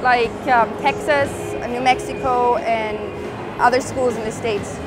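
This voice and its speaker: A young woman from Germany talks casually in an interview about her life as a rodeo competitor. She switches easily between English and German. Her voice sits fairly high, and loud music plays behind her. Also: steady tone, a little slow, rough recording. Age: young adult.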